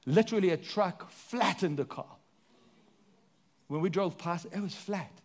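A man with a South African accent delivers a sermon from the pulpit. His voice sits high for a man. He throws rhetorical questions at the congregation and moves between emphatic lines and quieter, hushed ones. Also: measured pace. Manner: preaching with sweeping rises and drops, rhetorical questions. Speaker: pastor.

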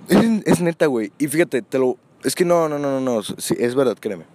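A man with a Mexican accent in casual banter, joking, slangy and swearing freely, with sudden loud bursts. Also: mid-range voice, expressive, a little fast. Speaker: co-host. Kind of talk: casual chat.

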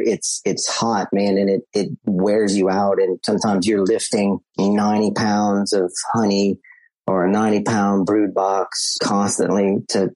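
Husky-voiced man, easygoing. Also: lowish voice, a little mellow, no echo, low-quality recording. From South Louisiana. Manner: easygoing, repeats words while thinking. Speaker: podcast guest, beekeeper. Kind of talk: casual interview chat.

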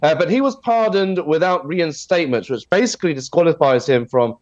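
A man with a head cold gives a plain, measured news explainer over a video call. His voice is nasal and a bit husky, and he fills pauses with "uh". The audio is dull, dry and muffled. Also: on the high side, no echo. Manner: steady explanatory delivery with many fillers. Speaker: news commentator. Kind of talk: radio news discussion.

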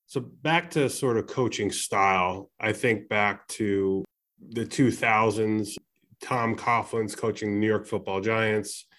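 A man's voice: on the low side, takes it slowly, fairly bright tone. A male host interviewing a guest, with long, rambling setups before each question.

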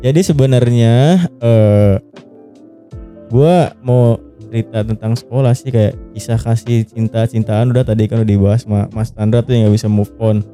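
Young male podcast host, very relaxed warm voice, slangy joker who needles and curses, faint music bed. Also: on the low side, moderate pace. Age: young adult. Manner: teasing, joking, swearing freely. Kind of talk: casual slangy podcast banter. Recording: music playing behind the voice.

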